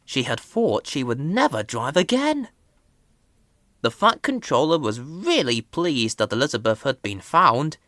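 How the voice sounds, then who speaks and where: Slow, very animated woman reading a story. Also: rumbling low, no echo, studio quality. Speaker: storybook reader. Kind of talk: scripted children's story narration.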